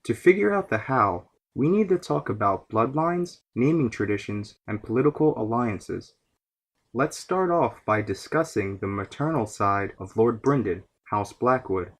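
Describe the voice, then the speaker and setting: Male explainer host, average pitch, a little rough-edged, scripted and expository fantasy lore narration. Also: expressive, measured pace. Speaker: podcast host.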